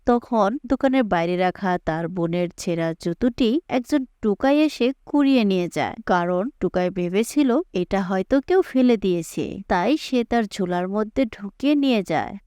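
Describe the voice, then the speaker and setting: A woman's voice: mid-range voice, expressive, normal speed, hardly any pauses, a little mellow, clean tone, dry recording, studio quality. A woman narrating a scripted cartoon moral story as a storyteller.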